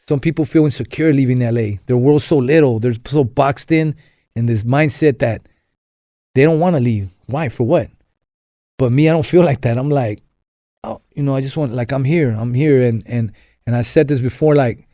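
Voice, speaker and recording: A man's voice: mid-range voice, warm tone, no echo, studio quality. A young man from Los Angeles, a podcast guest telling his story in a rambling way, full of fillers and restarts. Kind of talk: casual storytelling interview.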